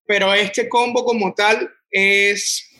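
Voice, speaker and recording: A Colombian man in his twenties speaks casually about his restaurant, circling back on himself with plenty of restarts. His voice sits fairly high and sounds bright and forward. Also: little pitch movement, takes it slowly. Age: 20s. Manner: rambling, many restarts and "o sea". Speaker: restaurant owner. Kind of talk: casual interview answers.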